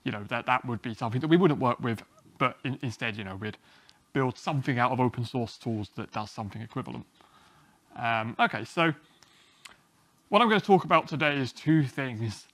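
A man, giving a relaxed, self-deprecating technical talk at a small meetup, often restarting his sentences. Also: mid-range voice, a little fast. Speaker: conference speaker. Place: small meeting room, indoors.

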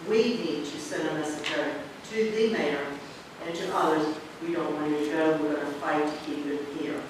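An older council member speaks with mounting fervor, repeating his pleas. His somewhat high voice sits far from the mic in a very echoey chamber. A steady hiss of room noise runs underneath. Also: moderate pace. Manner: fervent, repetitive, rising to loud pleas. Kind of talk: impassioned council speech.

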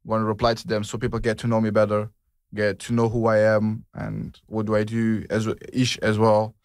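A man's voice: lowish voice, completely flat delivery, a little fast, no echo, clean studio sound. A man in his twenties from Portugal, hosting a podcast in a casual, rambling way with slang and lots of fillers. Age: 20s. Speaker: podcast host. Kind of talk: casual chat.

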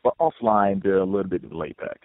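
A man's voice: sits low, fairly quick, no room sound. A man hosting an interview, asking chatty, self-deprecating questions. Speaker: host interviewer. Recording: tinny phone-like audio.

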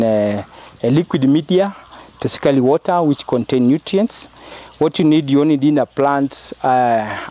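A middle-aged man with a Kenyan accent, giving an instructional demonstration in a halting, repetitive way as he works. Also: mid-range voice, a little mellow. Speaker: project researcher giving a demonstration. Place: inside a greenhouse. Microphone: clip-on lapel mic.

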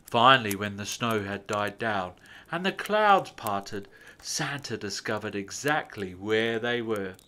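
A man reading a children's story as a storyteller, with expressive, measured phrasing and drawn-out words. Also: fairly low pitch. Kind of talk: scripted children's story narration.

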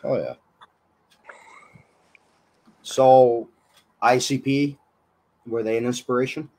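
Hoarse young man hosting a radio chat. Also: mid-range voice, steady tone, a little mellow, rough recording. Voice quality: husky. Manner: laid-back, swearing, quick laughs. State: hoarse from illness. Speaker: radio show host. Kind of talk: casual online radio interview. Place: small dim room with colored lights.

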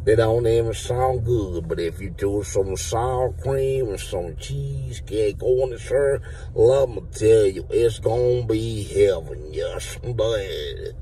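A man's voice: lowish voice, takes it slowly, mellow, recorded from a distance, slight background noise. A man in his thirties, seated in a car and talking into a phone, voicing a blustering redneck who claims to be a veteran, with a put-on Southern drawl and rambling, absurd talk. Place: inside a parked car. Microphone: phone held at the mouth.

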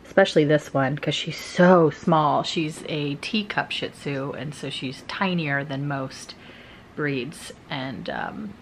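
A woman's voice: deep voice, slow pace, a little hiss or hum. A woman in her thirties chatting casually to a handheld camera in a bedroom, giggly and full of "like" and "um". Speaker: vlogger. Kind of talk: casual vlog chat to camera. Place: bedroom in a house. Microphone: handheld camera at arm's length.

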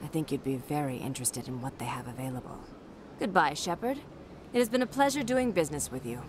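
A woman playing a composed, calculating official in a video game, speaking with formal, measured politeness. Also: deep voice, expressive, slight background noise. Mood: coolly polite.

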